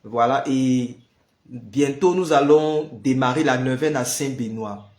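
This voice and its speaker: A man's voice: mid-range voice, unhurried, darkish tone, roomy sound. A man with the flu, leading a prayer livestream and chatting casually with viewers, greeting them by name. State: has the flu. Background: noise of building work.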